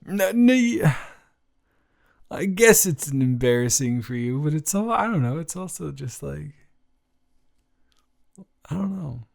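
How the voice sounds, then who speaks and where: A young man playing a flustered, tender college student talking intimately to a childhood crush in an improvised audio roleplay, stammering and halting. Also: a little high, very animated, creaky, full character voice. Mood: flustered, touched.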